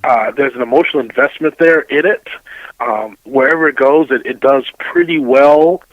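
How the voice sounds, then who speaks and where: A man's voice: medium pitch, normal speed, no echo, lo-fi sound. A middle-aged man, a radio host being interviewed, giving casual opinions and often repeating phrases as he builds a point.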